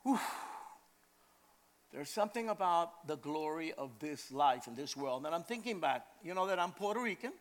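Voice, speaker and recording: An older man from Brooklyn, preaching in a storytelling style, animated and emphatic, repeating phrases for effect. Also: a little high, normal speed. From Brooklyn, New York, USA. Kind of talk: storytelling sermon.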